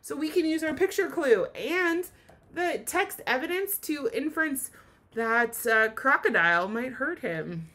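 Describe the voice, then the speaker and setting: Highly animated woman teacher reading aloud. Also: measured pace. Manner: expressive, asks listeners questions and explains. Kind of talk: animated children's read-aloud lesson.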